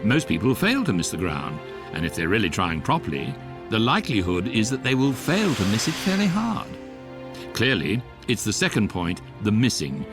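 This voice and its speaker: An actor voicing a wry electronic guidebook, narrating a comic science fiction radio drama in a measured, deadpan way. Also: male voice, on the low side.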